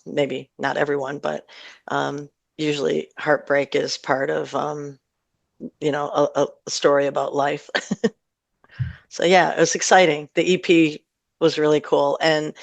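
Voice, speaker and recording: A woman's voice: sits low, no echo. Dry-sounding Midwestern American woman chatting reflectively. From northern Michigan, USA. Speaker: singer-songwriter interviewed as guest. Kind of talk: casual radio interview chat.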